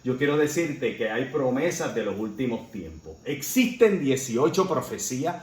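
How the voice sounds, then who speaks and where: A man's voice: medium pitch, big pitch swings, moderate pace. A man teaching a Sunday Bible class in an earnest way, often checking in with his listeners. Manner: earnest, with frequent "¿verdad?" and "eh" fillers. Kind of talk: Sunday school Bible lesson. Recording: roomy echo, faint background noise.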